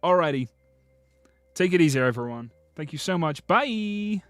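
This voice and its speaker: A man with a slightly hoarse voice, rambling and joking freely as he chats through a livestream. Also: on the high side, lively intonation, normal speed, fairly bright tone, no room sound, soft background music. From Britain. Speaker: streamer. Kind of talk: casual livestream commentary.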